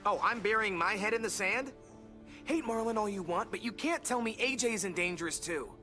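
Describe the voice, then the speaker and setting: A man's voice: high-pitched, brisk, pushed and bright. Casting: stubborn teen boy, curt and defensive, naturalistic game acting, faint music behind.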